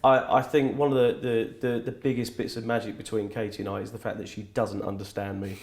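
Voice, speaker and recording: Gravelly, halting man. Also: lowish voice, little pitch movement, darkish tone. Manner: hesitant, many restarts. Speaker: guest. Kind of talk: interview chat.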